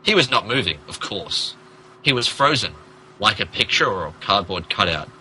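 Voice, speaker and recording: A man's voice: lowish voice, sing-song, normal speed, bright, forceful tone, a little hiss or hum, low-quality recording. A man narrating a comic dramatic reading of fan fiction in a theatrical, emphatic manner. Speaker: podcast host reading as narrator.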